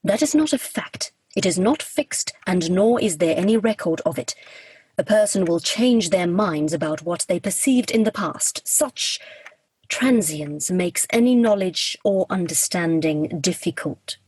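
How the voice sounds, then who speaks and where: A woman acting Death in a radio play as a formal, literal, reserved figure with stiff, measured diction. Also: on the low side, animated, darkish tone, breathy edge, low-quality recording. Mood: solemn, stiff.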